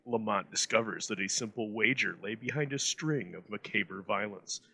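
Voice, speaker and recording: A man reading a scripted dramatic introduction in a deliberate, portentous manner. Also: medium pitch, pushed and bright, breathy edge, rough recording. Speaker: presenter.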